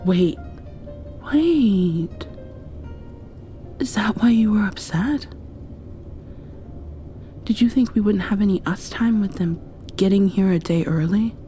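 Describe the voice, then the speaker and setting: A woman doing an ASMR roleplay, drifting between whispers and soft speech in an affectionate, playfully scolding way. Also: mid-range voice, takes it slowly, pauses often, soft background music.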